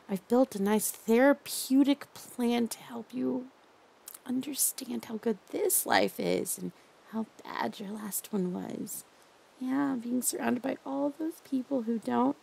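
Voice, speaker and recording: A woman voicing a possessive, obsessive female captor in an audio roleplay, crooning softly and slipping into whispers. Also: a little high, gravelly, slightly rough, pitched above the performer's own voice, exaggerated voice. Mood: soothing. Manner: soft crooning, slipping into whispers and half-sung lines.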